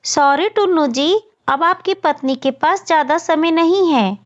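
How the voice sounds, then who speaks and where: A woman's voice: extremely high pitch, lively intonation, a little slow, lo-fi sound. A woman voicing a grave, apologetic doctor bird in a children's cartoon story, solemn in manner.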